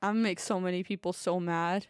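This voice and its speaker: A woman in her thirties chats and rambles on a podcast, tossing in "like" constantly and giggling easily. Her voice is very smooth and resonant. The recording is close and dry. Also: on the low side, steady tone, quick pace, no echo. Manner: chatty, rambling, giggly, with many "like"s. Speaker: podcast host. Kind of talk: casual podcast chat.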